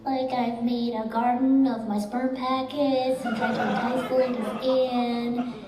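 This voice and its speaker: A small, earnest male insect, acted by a woman speaks in a high voice, politely and a little hesitantly, as a puppet show caller. The sound is distant and reverberant, in a noisy hall with an audience. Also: level tone, measured pace, close to an everyday voice. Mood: earnest, sheepish. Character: shy, earnest little male springtail insect seeking romance. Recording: roomy, distant live hall with crowd noise.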